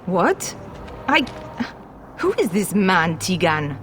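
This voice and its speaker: Casting: worried castle lady, guarded and halting, formal fantasy game drama, faint background noise. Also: on the low side, sing-song. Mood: startled. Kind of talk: dramatic video game acting.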